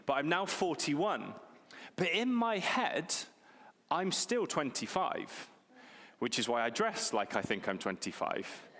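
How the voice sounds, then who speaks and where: A man's voice: fairly high pitch, sing-song, takes it slowly, bright, forceful tone. A man, preaching a sermon in a conversational, self-deprecating way and repeating key phrases for emphasis. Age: 40s. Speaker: pastor. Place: church hall. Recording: long reverb of a church hall.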